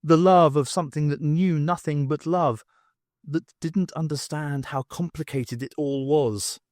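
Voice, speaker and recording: A man's voice: a little high, steady tone, measured pace, a little mellow, no echo, studio quality. A man reading scripted dramatic narration for an audiobook.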